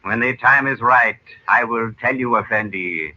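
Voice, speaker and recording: A man's voice: mid-range voice, measured pace, bright, forceful tone. A man playing a mysterious, deferential Egyptian storyteller in an old radio drama, speaking formally and with measure. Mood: calm, secretive. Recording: muffled old radio broadcast.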